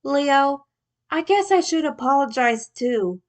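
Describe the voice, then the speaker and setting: A woman's voice: sits high, expressive, slow, voice pushed up. A woman reading the lines of a playful, teasing young friend in a fan story. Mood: apologetic, tender.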